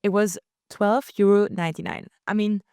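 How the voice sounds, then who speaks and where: A young woman from Belgium hosts a solo podcast and tells her travel story in a chatty, lively way, with quick laughs. Her voice is smooth and resonant. It sounds close and dry, with no room around it. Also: medium pitch, no room sound. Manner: chatty and animated, laughs often, slips into quoted voices. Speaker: podcast host.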